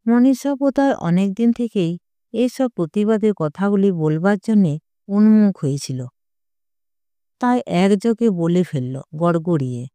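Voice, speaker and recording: A woman's voice: mid-range voice, lively intonation, a little slow, warm tone, smooth, dry recording. A woman reading a literary short story aloud with expression. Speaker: audio story narrator.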